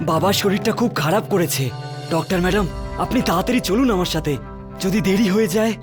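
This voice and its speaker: Man acting high-pitched anxious young man. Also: fast talker, lightly acted. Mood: urgent. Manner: earnest, pleading. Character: anxious, polite, dutiful young son. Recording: music behind the voice.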